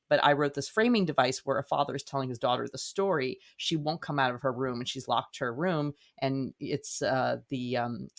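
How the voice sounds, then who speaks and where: A woman talks conversationally as a guest in an interview. She is effusive and leans on her words with emphasis, like a writer explaining her craft. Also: extremely low pitch. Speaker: guest, playwright.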